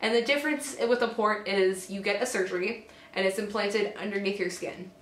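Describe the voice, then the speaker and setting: A teenage girl vlogging casually to camera at home, a little hoarse from a cold, chatty and full of fillers and self-corrections. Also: mid-range voice, a little fast, reverberant. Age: teens. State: has a cold, slightly hoarse. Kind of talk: casual talking-head vlog. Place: small indoor room at home. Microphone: camera at close range.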